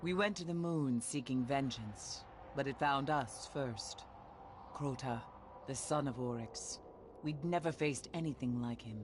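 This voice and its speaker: A woman's voice: extremely low pitch, measured pace. An actress playing a grave, haunted female survivor, giving solemn and foreboding briefings in a video game. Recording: loud noise behind the voice.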